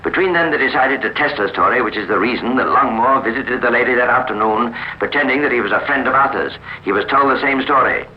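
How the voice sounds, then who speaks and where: Formal guy narrating old radio crime show, mid voice, bit muffled and noisy. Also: mid-range voice. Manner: formal, measured storytelling.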